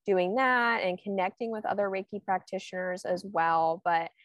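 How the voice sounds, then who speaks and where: A woman's voice: mid-range voice, moderate pace, fairly bright tone, dry recording, muffled recording. A woman in her twenties, a guest speaking reflectively and filling her sentences with "like". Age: 20s. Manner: reflective, heavy use of "like". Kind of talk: casual podcast chat.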